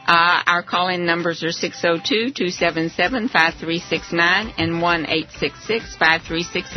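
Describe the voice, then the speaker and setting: An American woman hosts a radio talk show in a mid-pitched voice. The audio is a bit dull and lo-fi, with music audible.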